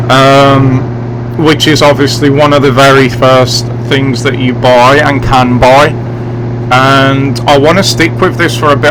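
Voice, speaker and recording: Slow, even-toned English man commentating gameplay. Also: medium pitch, background noise. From England. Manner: chatty, rambling, addressing viewers directly. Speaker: gaming video host. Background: driving game vehicle sounds.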